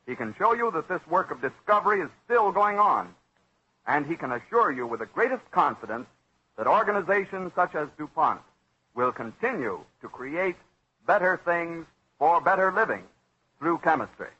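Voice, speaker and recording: A man with an American accent reading a scripted sponsor announcement in the formal, measured, earnest manner of a 1940s radio announcer, on an old broadcast recording. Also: a little high, very animated, sharp tone, husky, muffled recording.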